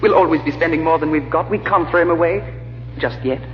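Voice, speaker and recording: An actor playing a sly, resentful, spendthrift aristocrat who schemes, sardonic and theatrical, in old-time radio drama style. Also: male voice, fairly high pitch, fast talker, faint music behind, lightly acted. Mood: sardonic, calculating. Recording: reverberant, muffled old radio recording.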